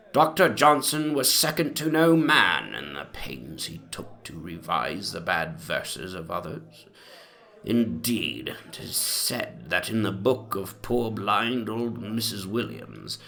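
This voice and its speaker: A man playing a very old, rambling gentleman memoirist with a pompous, old-fashioned manner in a dramatic reading. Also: low-pitched, gravelly, full character voice.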